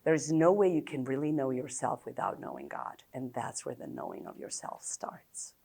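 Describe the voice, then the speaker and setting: A woman in her fifties from Serbia with an American-tinged accent, a psychologist giving a blunt, open conference talk with many pauses and fillers. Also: extremely low pitch, sing-song, creaky, darkish tone, husky. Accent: American-tinged English.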